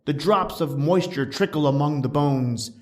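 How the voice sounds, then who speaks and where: A man playing a cunning, coaxing gentleman, higher-pitched. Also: normal speed, lightly acted. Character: cunning vengeful gentleman feigning smooth concern. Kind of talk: gothic horror storytelling.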